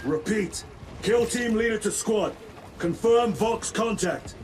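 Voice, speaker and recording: Grim, slow-speaking space marine leader. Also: male voice, high voice. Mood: urgent, commanding. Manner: solemn, commanding, deliberate delivery. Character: grim, battle-weary space marine squad leader, solemn and vengeful. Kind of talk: dramatic video game voice acting. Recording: loud music and machinery behind voice. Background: loud score, machinery.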